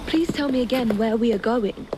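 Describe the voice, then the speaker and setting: An actress playing a composed, capable young Englishwoman in a video game cinematic, crisp, polite and self-assured. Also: fairly high pitch, normal speed, noisy background, natural voice. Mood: curious, polite.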